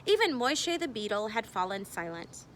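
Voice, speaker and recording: A woman reading a memoir aloud expressively, with deliberate phrasing and dramatic emphasis. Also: medium pitch, sing-song, a little hiss or hum. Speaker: reader.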